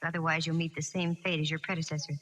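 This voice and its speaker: Wisecracking, bright-voiced lady private eye. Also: deep voice, level tone, fast talker. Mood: wry. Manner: wry, crisp, breezy. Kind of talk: 1940s radio acting with first-person narration. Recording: echoing, hissy 1940s radio recording.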